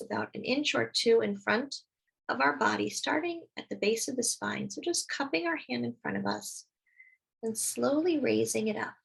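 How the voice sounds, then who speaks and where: A woman leading a guided meditation, speaking slowly and soothingly as she gives gentle instructions. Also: fairly high pitch, creaky, breathy edge, low-quality recording.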